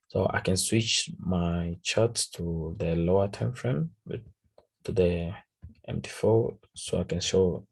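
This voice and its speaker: A man with a Tanzanian accent, a native Swahili speaker, casually talking through a trade recap in halting English, searching for words. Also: low-pitched, a little mellow, breathy edge. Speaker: forex trader. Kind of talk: casual trading recap over a chart.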